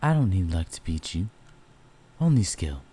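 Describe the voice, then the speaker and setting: A man's voice: sits low, big pitch swings, moderate pace, mellow, husky, exaggerated voice. A man voicing a teasing, competitive male werewolf in an audio roleplay, talking casually and bluntly to a partner. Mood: playful, competitive.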